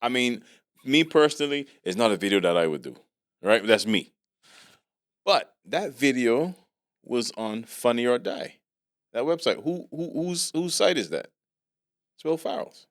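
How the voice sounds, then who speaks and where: A middle-aged man from Barbados hosting a podcast in casual, animated chat, repeating words and laughing often. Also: mid-range voice, a little slow, long pauses, dry recording, clean studio sound. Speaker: podcast host.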